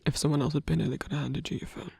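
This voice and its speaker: A performer in an intimate ASMR roleplay, voicing a gentle, protective supernatural lover with a husky, breathy voice and a hesitant, earnest delivery. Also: mid-range voice, very fast, dry recording, exaggerated voice. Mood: worried, tender.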